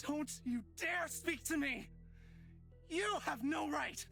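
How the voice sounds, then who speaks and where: A woman's voice: high voice, slow, vocal fry, fairly bright tone, faint music behind, heavily acted. A woman playing a hot-headed, spiteful young fantasy character in a visual novel, with an intense, dramatic delivery. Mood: enraged.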